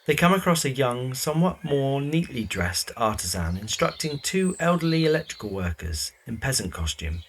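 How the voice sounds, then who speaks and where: A man's voice: medium pitch, very animated, moderate pace, hardly any pauses, slight background noise. A man reading scripted narration for an audio drama.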